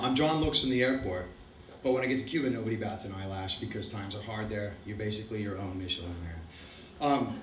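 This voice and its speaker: A man's voice: fairly low pitch, a bit bright, slight background noise. A middle-aged man with an Argentine accent, telling a profane, wry travel story to a live audience. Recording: roomy, distant mic.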